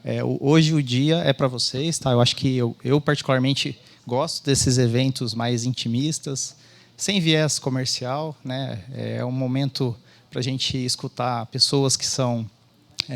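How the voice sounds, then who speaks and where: A Brazilian man hosts the opening of a conference. He speaks informally to those gathered, welcoming them as one of the organizers, in a mid-pitched voice.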